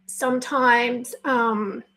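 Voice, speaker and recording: A woman life coach speaking live and unscripted, her voice husky today, talking slowly and choosing her words with care. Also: a little high, steady tone, bright, forceful tone. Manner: slow, pausing, careful with words, apologetic. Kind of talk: spontaneous live video talk.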